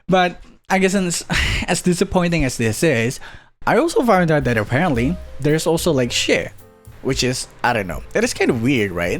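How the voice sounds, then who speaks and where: A young man from Indonesia, doing a casual commentary vlog with joking, self-mocking asides and frequent laughs. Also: mid-range voice. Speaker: YouTuber.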